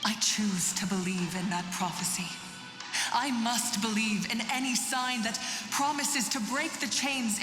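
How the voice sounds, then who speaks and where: Fantasy game role: proud, solemn warrior heroine voiced by a woman, declamatory, higher voice, ringing reverb. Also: lightly acted. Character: proud, defiant mortal warrior woman, scornful of her people. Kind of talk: dramatic fantasy game acting. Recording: echoing hall reverb under loud music.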